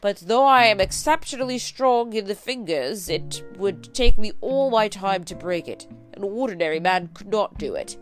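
A shrewd, composed gentleman detective from a classic storybook, voiced by a woman. The delivery is formal, measured and assured, with clear music playing behind. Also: mid-range voice, animated, natural voice. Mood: probing. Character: confident male detective, brisk, shrewd and composed.

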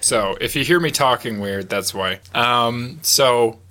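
Male podcast host, bright voice, a little sniffly, chatty and loose. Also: medium pitch, slow pace. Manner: laughs often, rambling with fillers. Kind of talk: casual podcast chat. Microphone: headset mic.

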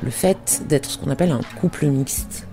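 A radio host introducing a guest and asking measured, formal questions. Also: medium pitch, dark tone, soft background music.

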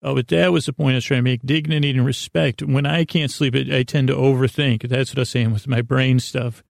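Male sleep-podcast host, heavy vocal fry, dry close sound; halting, whimsical, self-deprecating rambler. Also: medium pitch, fairly even intonation, dry recording. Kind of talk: rambling bedtime monologue.

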